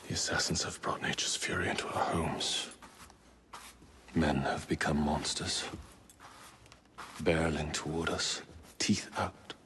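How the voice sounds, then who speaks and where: An actor playing a grim, resolute villain mastermind in a dramatic game monologue, speaking in a portentous, measured, declamatory way. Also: male voice, very deep voice, little pitch movement, noisy background. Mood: grave resolve.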